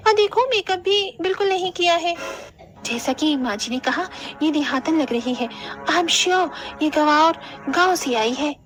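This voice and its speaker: A woman's voice: high-pitched, expressive, measured pace, music in the background. A woman voicing a vain, mocking, fashion-obsessed young daughter-in-law in a cartoon, with an affected manner. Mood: catty.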